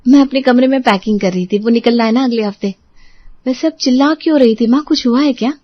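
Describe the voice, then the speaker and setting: A woman's voice: fairly high pitch, fast talker, dry recording, lightly acted. Woman as earnest young daughter-in-law, dull recording. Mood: puzzled. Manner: deferential, earnest. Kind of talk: Hindi family drama acting.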